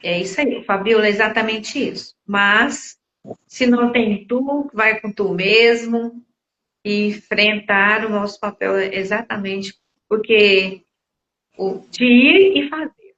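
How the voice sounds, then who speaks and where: Brazilian female, 60s, podcast guest. Medium pitch, conversational, halting word-search, somewhat dull recording. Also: fairly bright tone, reverberant, a little hiss or hum. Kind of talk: casual conversation, personal reflection. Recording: slightly dull lo-fi audio.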